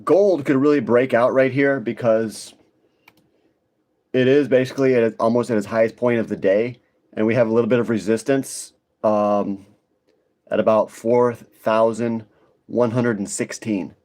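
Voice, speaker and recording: A man talks casually to his livestream viewers about markets. His voice sits at an average pitch, and his delivery is informal and halting, as if thinking aloud. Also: fairly even intonation, darkish tone. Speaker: livestream host, retail stock commentator. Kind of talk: casual live market commentary.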